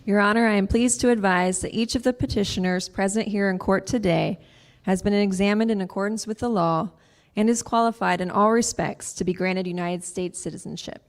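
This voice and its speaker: A woman naturalization officer addressing the judge in a measured, official recitation. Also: mid-range voice. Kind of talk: formal motion to the court.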